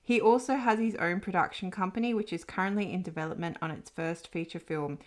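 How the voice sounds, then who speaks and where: A woman's voice: on the low side, a little fast. A woman hosting an interview podcast, introducing and chatting with her guest. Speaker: podcast host.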